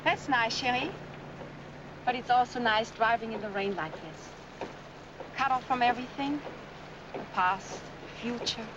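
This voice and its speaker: Actress, tender devoted bride-to-be, high-pitched, 1940s film romance, dull noisy soundtrack. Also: female voice, a little slow, long pauses, natural voice. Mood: dreamy, tender. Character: dreamy, devoted young bride-to-be.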